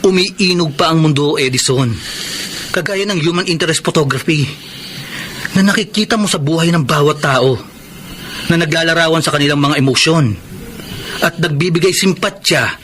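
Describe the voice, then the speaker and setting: Loyal young male friend played by a man, Filipino accent, fairly high voice, eager and persuasive radio drama read, faintly noisy recording. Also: normal speed, pauses often, fairly bright tone, close to an everyday voice. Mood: earnest, encouraging. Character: Baron, earnest, encouraging young male friend of a prisoner.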